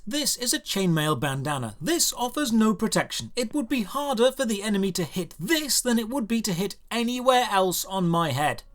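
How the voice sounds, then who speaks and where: A man's voice: high voice, a bit bright. Dry, sarcastic man reviewing a game. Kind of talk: scripted review narration over gameplay.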